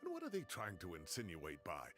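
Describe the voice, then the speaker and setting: An actor playing a fussy, grumbling older professor in a video game, indignant and blustering. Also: male voice, sits low, wildly swooping pitch, a little fast, music in the background. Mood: indignant, flustered.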